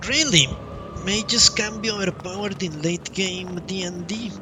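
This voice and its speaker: A man giving casual live commentary as he plays a game, musing aloud in an unhurried way. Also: high-pitched, noisy background. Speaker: game streamer. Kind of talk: casual live playthrough commentary, reads dialogue choices. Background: game music.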